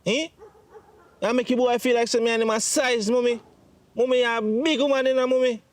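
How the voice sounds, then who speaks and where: A man with a Jamaican accent playing a distraught grown son in a domestic drama, pleading and reproachful, with halting, repetitive speech. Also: extremely high pitch, steady tone. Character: distraught grown son reproaching his mother over money. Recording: faint background noise.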